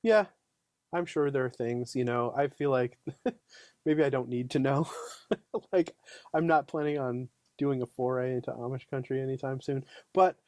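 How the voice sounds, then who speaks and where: A man's voice: medium pitch, normal speed, a little mellow, dry recording. A man talking as a podcast guest, easygoing and chatty, with lots of "you know" fillers. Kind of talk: casual conversation.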